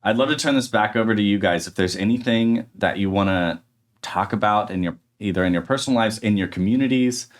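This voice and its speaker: A man's voice: on the low side, fairly quick. A young man hosting a podcast interview, speaking thoughtfully with frequent restarts and hesitations. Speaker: podcast host. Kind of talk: casual interview conversation.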